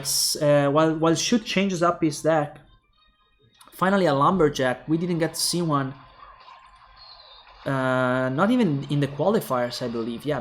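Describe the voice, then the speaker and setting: A young man with an Italian accent casting a mobile game tournament alone, calling plays quickly and thinking aloud to viewers. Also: on the high side. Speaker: esports caster. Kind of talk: solo esports play-by-play commentary.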